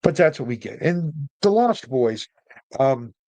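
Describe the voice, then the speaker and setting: A man's voice: on the high side, animated, measured pace, a little mellow, dry recording, rough recording. A middle-aged man hosting a storytelling podcast, explaining things in a casual, opinionated, informal monologue. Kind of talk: casual explanatory monologue about films and folklore.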